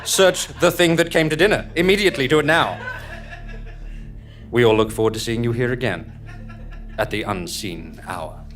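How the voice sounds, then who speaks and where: A man's voice: medium pitch, expressive, normal speed, long pauses, a bit bright. A man narrates and announces a live comedy audio drama. His delivery is scripted and tongue-in-cheek, with a mock-solemn air. Light music plays under his mid-range voice.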